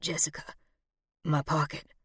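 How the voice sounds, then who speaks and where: A woman voicing a wounded, dying man in an audiobook, tender and weak, with a breathy, gasping whisper. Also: low voice, normal speed, no room sound, clean studio sound, pitched below the performer's own voice. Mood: strained, gasping.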